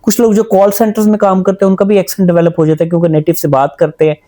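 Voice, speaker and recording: A man with a high voice hosts a relaxed sit-down talk. He speaks with energy and keeps his guest going with pointed follow-up questions. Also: racing. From Pakistan. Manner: animated, asks probing questions. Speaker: host and English teacher. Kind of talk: conversational interview.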